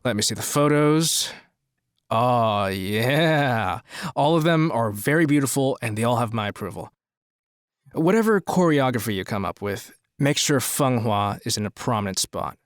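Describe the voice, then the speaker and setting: An actor playing a persuasive, scheming rich promoter in an audio drama, talking in a businesslike way. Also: male voice, mid-range voice, lively intonation, moderate pace, no echo, studio quality, close to an everyday voice.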